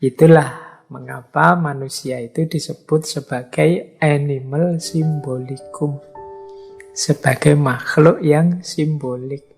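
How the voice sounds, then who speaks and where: Middle-aged male lecturer, gravelly and slightly hoarse, chatty delivery that acts out everyday remarks. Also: fairly high pitch, steady tone, slow, faint music behind. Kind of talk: philosophy lecture in a mosque.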